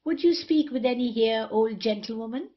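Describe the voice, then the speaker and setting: A woman's voice: fairly high pitch, moderate pace, muffled recording, natural voice. A woman playing a saucy, sneering tavern wit in a period comedy, teasing and prying theatrically. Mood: flippant. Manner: teasing, inquisitive.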